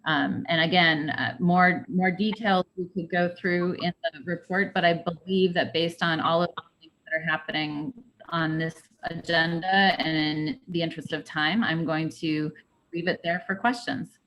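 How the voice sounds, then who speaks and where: A woman giving an executive director's report at a board meeting over a video call. She speaks haltingly, with many fillers and restarts. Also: lowish voice, fairly even intonation, a bit bright, highs cut off.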